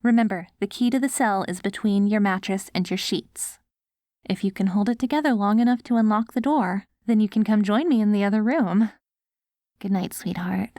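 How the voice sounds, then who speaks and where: A woman playing an affectionate post-apocalyptic wife in an ASMR audio roleplay, coaxing and gently praising her ghoul husband. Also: medium pitch, moderate pace, dry recording, studio quality, close to an everyday voice. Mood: tender, tired.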